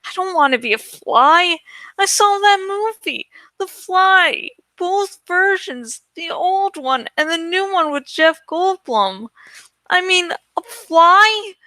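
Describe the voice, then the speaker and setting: Woman as an exasperated teenage boy, bright and high. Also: lively intonation, dry recording, heavily acted. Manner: halting, plaintive. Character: reluctant, exasperated teenage boy, hesitant and complaining.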